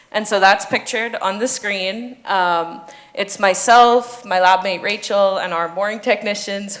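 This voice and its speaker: A woman's voice: mid-range voice, a bit bright. A woman in her twenties from the Bahamas, a doctoral student explaining her ocean research on a panel, earnest and with frequent ums. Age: 20s. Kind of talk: panel discussion.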